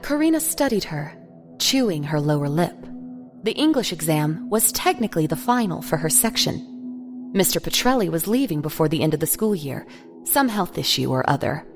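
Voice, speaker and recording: A woman's voice: low-pitched, very animated, moderate pace. Woman narrating a drama over music. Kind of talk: scripted narration of an audio drama.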